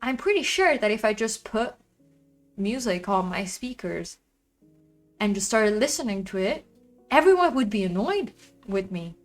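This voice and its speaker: A woman with a loud voice chatting casually on a livestream from her apartment, rambling and venting about her neighbors. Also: mid-range voice, sing-song, unhurried, long pauses, soft background music. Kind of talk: casual chat.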